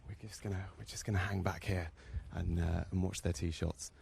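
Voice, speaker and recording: Hushed, gravelly low-voiced man reporting golf. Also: steady tone, brisk, slight background noise. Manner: hushed, talking quietly on the course. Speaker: on-course reporter. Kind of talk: live walk-along golf commentary. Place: outdoors on a golf course.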